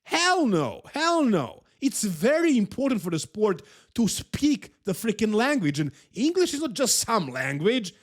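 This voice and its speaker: A man's voice: high-pitched, sing-song, a little slow, hardly any pauses. A man from Serbia with a Serbian accent, a native Serbian speaker, hosting a casual bodybuilding commentary and giving his emphatic, opinionated take with frequent repeats.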